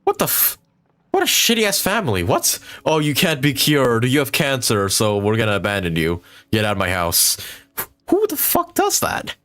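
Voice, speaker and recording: Animated man reacting on a game stream. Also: mid-range voice, moderate pace. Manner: profane, giggly, expressive. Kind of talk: casual live reaction commentary. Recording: game music behind.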